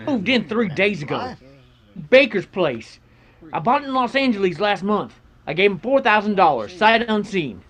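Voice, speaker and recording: Man acting a high-voiced, earnest young rancher. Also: very animated, moderate pace, a bit bright, heavily acted. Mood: anxious, earnest. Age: young adult. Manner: pleading, earnest. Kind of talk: radio western acting.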